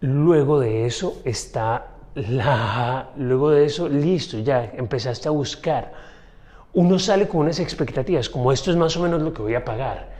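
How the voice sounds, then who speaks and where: A man talks through his own podcast in a loose, chatty monologue. He speaks directly to his listeners and shares personal stories in a reflective tone. His pitch sits in a middle range for a man. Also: normal speed, darkish tone. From Colombia. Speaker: podcast host. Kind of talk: casual solo podcast monologue.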